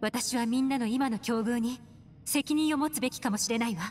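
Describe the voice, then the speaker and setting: An actress playing a composed, mysterious adult woman in an anime-style game, speaking in a poised, measured manner. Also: a little high, a little mellow, natural voice. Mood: composed, grave. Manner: poised, measured, feminine phrasing. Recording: long reverb, music underneath.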